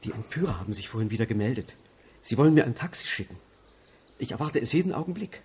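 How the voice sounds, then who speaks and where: A man's voice: medium pitch, expressive, fast talker, a little mellow, slight background noise, close to an everyday voice. An actor playing an exhausted, anxious father of a kidnapped boy, speaking with strained urgency in a radio crime drama. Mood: agitated, urgent.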